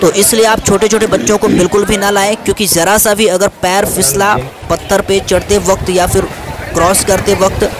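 A man's voice: high voice, flat delivery, moderate pace, music in the background, poor audio. A young man narrating a travel vlog while walking a crowded outdoor trail, chatty and often addressing viewers as guys, filmed at arm's length. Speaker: travel vlogger. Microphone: handheld camera or phone at arm's length. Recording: loud crowd and outdoor noise. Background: crowd chatter and outdoor noise.

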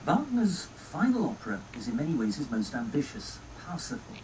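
A man's voice: a little high, wildly swooping pitch, fairly quick, a little mellow. A man presenting a scripted arts radio programme, heard replayed through speakers. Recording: distant speaker playback with faint noise.

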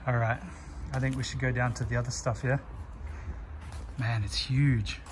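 Excited male explorer recorded outdoors. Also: mid-range voice, moderate pace. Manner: excited, chatty. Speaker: urban explorer. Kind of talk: casual on-location chat. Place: outdoors at an abandoned theme park. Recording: outdoor camera audio with ambient noise.

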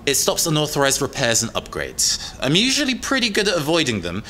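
An actor playing a thoughtful, slightly uneasy young tech expert in an audio drama, explaining things in a hesitant, natural way. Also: male voice, fairly high pitch, wildly swooping pitch, fairly quick, sharp tone, slight background noise, heavily acted. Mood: explanatory.

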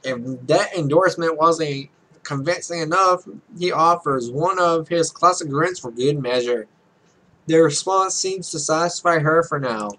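Young man, bright forceful tone, higher-pitched, let's play host, reading game dialogue aloud, offhand remarks. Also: measured pace, poor audio. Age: young adult. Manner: offhand, stumbles while reading, swears casually. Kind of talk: reading a visual novel aloud with casual commentary.

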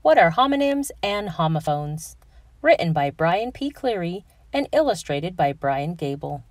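Female children's read-aloud narrator, unhurried and very animated, careful diction, dry close sound. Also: lowish voice, no echo.

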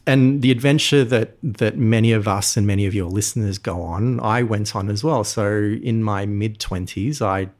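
Man in his forties, guest, low voice, friendly storyteller. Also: moderate pace, hardly any pauses. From Australia. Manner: friendly, storytelling, frequent ums. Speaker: podcast guest. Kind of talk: casual interview talk.

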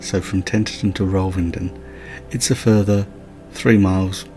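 A man's voice: deep voice, steady tone, normal speed, music in the background. A man narrating a countryside road trip as a voice-over, with light chatty asides. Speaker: travel vlogger. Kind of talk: scripted travel narration.

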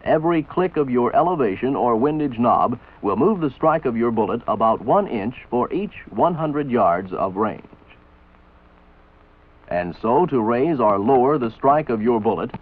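An American man reading scripted instructional narration for a training film in a measured, authoritative, didactic manner. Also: mid-range voice, expressive. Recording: tinny band-limited old film soundtrack. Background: voice-over for an old military training film.

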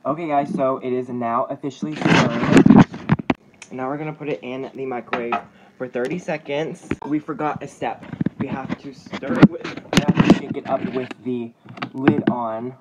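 A man's voice: medium pitch, fairly even intonation, moderate pace. A young man chatting casually and playfully as he vlogs his cooking in a home kitchen, recorded on a phone at arm's length. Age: young adult. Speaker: vlogger. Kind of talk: casual cooking vlog chat. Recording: clattering dishes and clicks, noisy kitchen.

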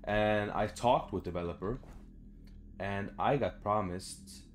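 Low-voiced young man playing games. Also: slow, a little hiss or hum. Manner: sweary, muttering to himself. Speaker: gaming video host. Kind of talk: casual let's play commentary. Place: small room indoors at home.

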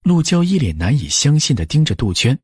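A man's voice: on the high side, sing-song, fairly quick, a little mellow, breathy edge, dry recording, clean studio sound. A man narrating an audiobook story from a script.

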